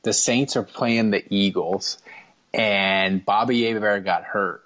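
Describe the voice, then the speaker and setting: A man hosting a sports fan show, talking casually and a little haltingly as he circles back and repeats himself. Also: fairly low pitch, steady tone, a bit bright, low-quality recording.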